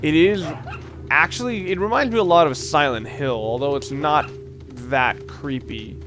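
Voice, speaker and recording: A man giving casual, halting gameplay commentary as a let's play host, rambling and thinking aloud as he plays. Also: on the high side, music in the background.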